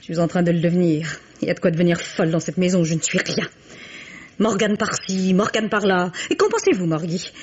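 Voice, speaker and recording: An actress playing a bitter, defiant young wife with pointed sarcasm, in an old-style French radio drama. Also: female voice, fairly low pitch, animated, a little fast, highs cut off, a little hiss or hum, lightly acted. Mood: bitter, mocking.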